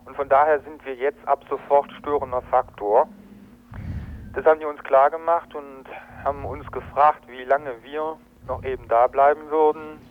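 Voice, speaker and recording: A man giving a spontaneous, halting report over a telephone line to a radio programme. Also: mid-range voice, steady tone. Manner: hesitant, halting. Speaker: activist giving a phone report. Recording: tinny narrow-band phone line on radio.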